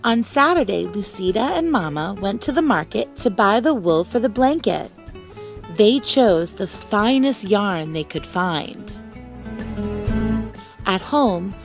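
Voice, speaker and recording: A woman reading a children's storybook aloud as a teacher, with expressive, deliberate phrasing. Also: medium pitch, faint music behind.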